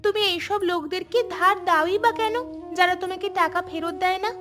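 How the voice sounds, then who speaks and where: A woman plays a young unmarried daughter in a cartoon drama. Her voice is high, and she speaks earnestly, practical and gently firm, over clearly audible music. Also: a little fast. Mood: reassuring, firm. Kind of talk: cartoon dialogue.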